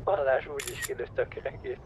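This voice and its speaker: A man's voice: medium pitch, steady tone. A man talking casually and crudely while playing an online game. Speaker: roleplay gamer. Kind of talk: casual in-game chat, slangy and sweary. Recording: dull lo-fi voice chat, faint engine noise.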